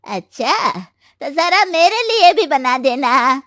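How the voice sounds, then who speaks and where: A demanding older mother-in-law acted by a woman in a cartoon family drama, bossy and drawling. The voice is very high and slow, with a dry close sound. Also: lively intonation, fairly bright tone, dry recording, exaggerated voice. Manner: drawling, commanding.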